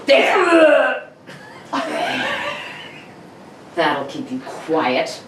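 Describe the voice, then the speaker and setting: A woman's voice: low-pitched, huge pitch swings, extremely slow, a bit bright, exaggerated voice. A woman acting a vengeful, slyly genial male stage actor in an old-time radio suspense play, silky and theatrical. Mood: gloating triumph. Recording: roomy echo, distant mic, faint noise.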